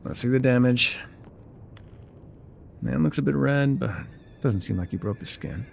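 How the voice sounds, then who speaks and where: An actor playing a gruff, guarded male trail guide in an immersive audio roleplay, with wry, folksy, laconic phrasing. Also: mid-range voice, lively intonation, unhurried, slight background noise. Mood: calm, reassuring. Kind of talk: immersive ASMR roleplay acting, talking to the listener.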